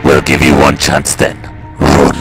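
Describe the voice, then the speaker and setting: Very deep gravelly robotic war machine. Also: male voice, completely flat delivery, a little slow, full character voice. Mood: cold, menacing. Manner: clipped, declarative, menacing. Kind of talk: amateur fan audio drama acting. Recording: music behind the voice.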